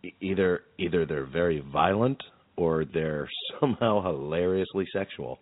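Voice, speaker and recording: A man's voice: low-pitched, normal speed. A man co-hosting a podcast in casual, joking chat with a wry manner. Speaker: podcast co-host. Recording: narrow phone-like sound.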